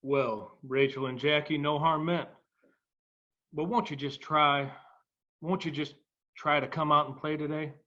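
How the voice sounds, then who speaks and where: An actor plays a stern male baseball boss, scolding and emphatic. His voice sits high for a man, and the recording is slightly dull. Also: steady tone, a little slow, pauses often, bright, forceful tone. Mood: conciliatory. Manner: reproachful, emphatic. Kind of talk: dramatic table read.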